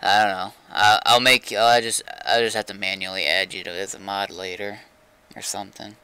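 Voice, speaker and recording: A man's voice: on the low side, level tone, moderate pace, sharp tone, clean tone, no echo, rough recording. A man chatting casually on a gaming livestream, rambling and thinking aloud as he goes.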